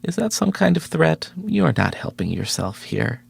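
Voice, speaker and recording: A man's voice: a little high, sing-song, normal speed, creaky, husky, no room sound, studio quality, exaggerated voice. An actor playing a guarded, sceptical male research scientist in a video game, clipped and dryly sarcastic. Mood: irritated, sceptical.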